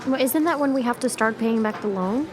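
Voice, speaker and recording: An actress playing a sharp, skeptical young student in a naturalistic audio drama, over background noise. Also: female voice, fairly high pitch, brisk, lightly acted. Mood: skeptical, agitated.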